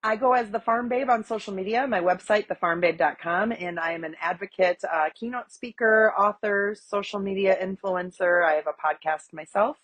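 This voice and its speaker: A woman in her forties from Wisconsin, stuffy from a cold, telling her story in a chatty, animated podcast interview with many fillers. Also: mid-range voice, moderate pace, hardly any pauses. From Wisconsin, USA. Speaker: guest, agriculture advocate. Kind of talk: conversational interview.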